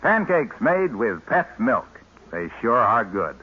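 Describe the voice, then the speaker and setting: A man's voice: medium pitch, very animated, slow, very noisy. A man announcing a 1950s radio show and reading its sponsor ad in an upbeat, hard-selling way. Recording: muffled 1950s radio broadcast.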